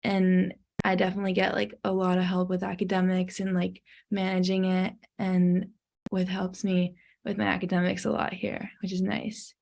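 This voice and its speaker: A teenage girl speaks on a student panel, rambling and using "like" often. Her voice is gravelly and flat in pitch. She is heard over a video call. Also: normal speed. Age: teens. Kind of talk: casual chat.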